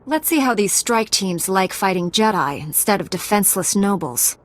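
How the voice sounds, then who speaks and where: An actress playing a calm, earnest, determined heroine knight in a video game. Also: female voice, mid-range voice, moderate pace, close to an everyday voice. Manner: composed, resolute. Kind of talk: video game cutscene acting.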